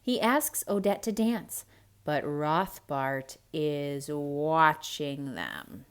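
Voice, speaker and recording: A woman's voice: low voice, extremely slow, smooth. Dramatic woman reading a children's storybook. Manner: dramatic, expressive, with hushed whispered asides to young listeners. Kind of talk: theatrical read-aloud storytelling for children.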